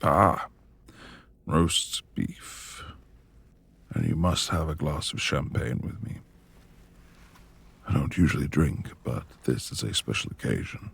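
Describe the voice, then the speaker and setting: Male actor as a reclusive beastly lord, extremely low voice, heavy rasping fry, formal and commanding yet tender, romantic audio roleplay. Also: big pitch swings, slow, pauses often, fairly bright tone, full character voice. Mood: tender, courteous. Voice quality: gravelly, breathy. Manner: formal old-fashioned phrasing, commanding.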